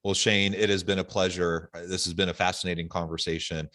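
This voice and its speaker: A man with a deep, low-pitched voice hosts an interview podcast. He speaks in a conversational manner, as an interviewer talking with a guest. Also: little pitch movement, fairly quick, pushed and bright, no room sound, clean studio sound. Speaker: podcast host.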